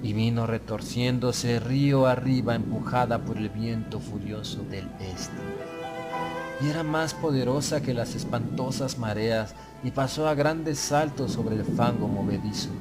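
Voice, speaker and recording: A man narrating a gothic horror tale in the first person, with a solemn, measured literary delivery. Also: mid-range voice. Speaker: audiobook narrator. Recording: music bed under the voice. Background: prominent music.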